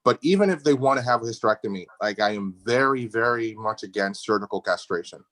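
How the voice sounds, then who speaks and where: A man's voice: fairly low pitch, expressive, quick pace, a bit bright, dry recording. Emphatic, slangy man in a dry recording. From Dominican Republic. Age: middle-aged. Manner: informal, opinionated, emphatic with slangy asides. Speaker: endometriosis surgeon, guest speaker. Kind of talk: casual medical discussion. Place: video call.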